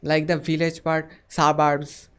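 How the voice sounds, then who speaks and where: A man's voice: a little high, completely flat delivery, measured pace, smooth. A man with an Indian accent chatting on a video call, echoing words back and offering friendly advice. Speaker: channel host chatting with strangers. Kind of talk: casual video chat.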